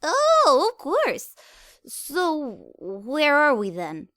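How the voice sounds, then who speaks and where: A high, bouncy young mare pony with a ditzy, excitable streak, as a woman reads her out. Her voice leaps up and down in pitch, recorded dry and close. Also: drawn-out words, dry recording, heavily acted. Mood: puzzled. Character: cheerful young female pony companion, ditzy.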